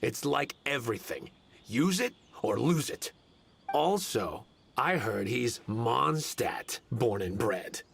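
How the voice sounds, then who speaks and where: An actor playing a stern, disdainful samurai guard in a video game, gruff and pointed in his delivery. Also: medium pitch, very animated, slow pace, a bit bright, a little hiss or hum, full character voice. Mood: scornful grumbling. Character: stern, disdainful male samurai guard, sarcastic and old-fashioned.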